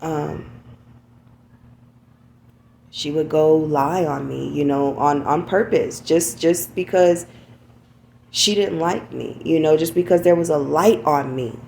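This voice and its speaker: Low, gravelly woman speaking candidly. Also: slow pace, pauses often, a little mellow, a little hiss or hum. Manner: candid, repeats "you know what I'm saying". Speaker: podcast host. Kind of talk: reflective personal monologue.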